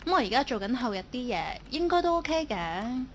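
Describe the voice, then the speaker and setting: A woman's voice: high voice, big pitch swings, a little fast, a little hiss or hum. An actress playing a timid, lovelorn young female office worker in a radio drama, speaking hesitantly. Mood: feigned calm. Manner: hesitant, stammering when upset. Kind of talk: Cantonese online radio drama acting.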